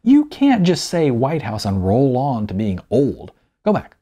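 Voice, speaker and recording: Man putting on a blunt, insistent woman, animated. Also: medium pitch, a little slow. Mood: incredulous, demanding. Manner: insistent, incredulous, interrupting. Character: blunt, demanding woman grilling her friend.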